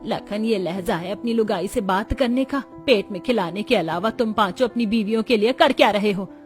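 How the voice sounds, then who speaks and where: A woman's voice: medium pitch, normal speed, faint music behind, lightly acted. A woman playing poor, dutiful and resourceful village housewives in a cartoon story. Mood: scolding. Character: poor village women, dutiful resourceful daughters-in-law and scolding mother-in-law.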